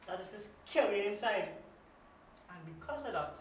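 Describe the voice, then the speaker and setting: A man plays a young, shaken restaurant cashier telling a story in an amateur stage monologue. The voice is high, colloquial and Trinidadian. It comes from a distance in a very roomy space. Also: lively intonation, normal speed. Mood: distressed, emphatic. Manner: colloquial, repetitive, emphatic. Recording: echoey room, distant mic, faint noise.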